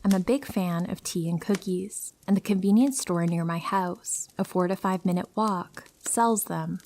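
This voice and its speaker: A woman narrating true horror stories in a calm, steady first-person reading. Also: fairly low pitch, unhurried, slight background noise.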